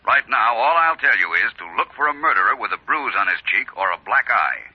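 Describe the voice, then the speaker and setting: Gentleman detective, husky, expressive, witty, formal, teasing, old radio mystery drama. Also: medium pitch, fairly quick. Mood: assured, wry. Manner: urbane, teasing, formal phrasing. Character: suave, witty, self-assured gentleman detective. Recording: dull, lo-fi vintage radio recording.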